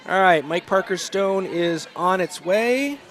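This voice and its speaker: A man's voice: high-pitched, animated, measured pace, a bit bright. A man from New England doing casual, wry play-by-play commentary on a curling match. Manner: chatty, wry, stumbles over names.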